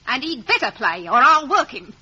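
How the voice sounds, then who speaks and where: An actress playing a bullying, hot-tempered working-class wife with a scolding manner in a 1950s radio drama. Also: female voice, high voice, sing-song, normal speed, breathy edge, full character voice. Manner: scolding, sharp. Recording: dull vintage radio broadcast.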